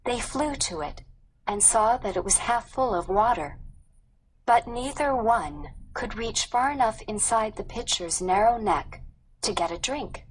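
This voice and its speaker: Slow, measured woman narrating, faint background noise. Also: a little high, animated, rough recording. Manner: measured, careful reading. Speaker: audiobook narrator. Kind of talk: scripted storybook narration.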